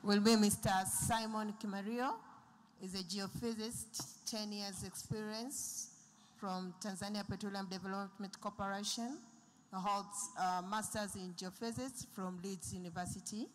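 Female moderator, brisk and formal, echoing conference hall. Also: mid-range voice, fairly even intonation, slight background noise. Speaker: session moderator. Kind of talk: formal introduction.

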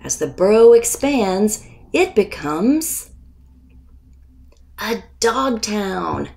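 A woman reading a children's picture book aloud as its author, deliberate and expressive, with pauses before key words. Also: mid-range voice, vocal fry.

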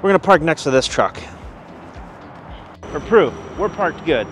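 A man's voice: a little high, expressive, a little slow, a bit bright, faint music behind. A man in his thirties working as a wedding DJ and emcee, talking in an informal, upbeat way. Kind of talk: casual vlog talk and event announcing. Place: wedding venue and outdoor parking lot.

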